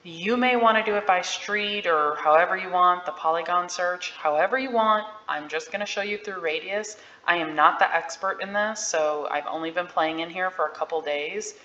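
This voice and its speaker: A woman giving a casual, candid software walkthrough in an informal, unscripted way. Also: lowish voice, moderate pace, few pauses. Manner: informal, candid about not being an expert. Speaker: realtor giving a software tutorial. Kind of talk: casual screen-share walkthrough. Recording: dull, roomy-sounding audio.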